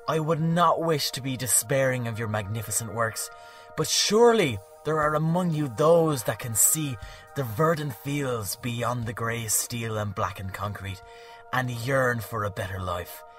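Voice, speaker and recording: A man voicing a gentle, stately goddess queen in an audiobook reading, soft and measured. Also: medium pitch, faint music behind, lightly acted. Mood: pleading. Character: gentle regal goddess queen, female, ageless.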